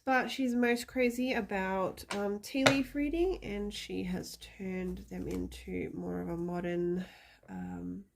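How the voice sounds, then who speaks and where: A woman in her thirties talks slowly and chattily, her voice carrying a gravelly fry. She sounds slightly hoarse from a cold and fills her talk with ums. Her pitch sits in a middle range. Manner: chatty, many ums, gushing. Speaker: psychic reader showing tarot decks. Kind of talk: casual show-and-tell chat.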